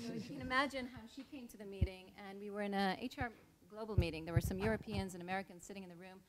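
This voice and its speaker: A woman's voice: mid-range voice, slight background noise. A middle-aged woman from Israel, speaking earnestly on a panel as an HR leader and telling a personal story.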